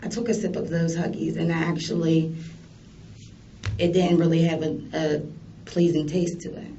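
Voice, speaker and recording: Female suspect in police interrogation, dark mellow voice, curt defensive denials, distant noisy recording. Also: deep voice, flat delivery, moderate pace. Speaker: suspect being questioned by police. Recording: distant muffled interview recording, clear background noise.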